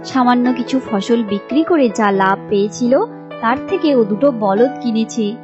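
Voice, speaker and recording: High-voiced woman narrator with music behind. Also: normal speed, muffled recording. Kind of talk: scripted storytelling narration.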